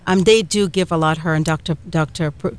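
A woman's voice: sits low, a little fast, smooth. Chatty Trinidadian woman hosting radio. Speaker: radio show host.

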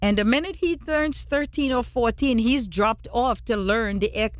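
A woman from Trinidad and Tobago hosting a radio show, chatting warmly and telling stories to draw out her guest. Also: fairly high pitch, moderate pace, no echo. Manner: chatty, storytelling, encouraging. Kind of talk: casual radio interview.

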